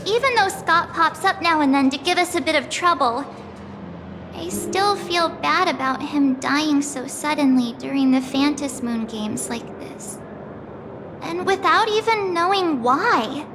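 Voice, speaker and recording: A woman voicing a cheerful, excitable young girl adventurer in a video game, bubbly and self-deprecating, with game music behind. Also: high-pitched, unhurried. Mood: worried.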